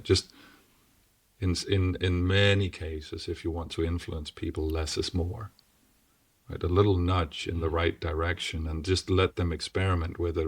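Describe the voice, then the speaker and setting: A man with a deep, strong voice, a podcast guest explaining ideas earnestly and often checking in with "right?". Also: no echo, distant mic. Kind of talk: casual explanatory conversation.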